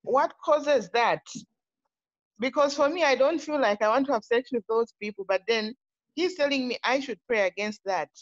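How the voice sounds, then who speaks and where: A woman's voice: on the high side, moderate pace. A woman from Zambia asking a question conversationally, hesitant and respectful, on a video call. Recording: muffled video call audio.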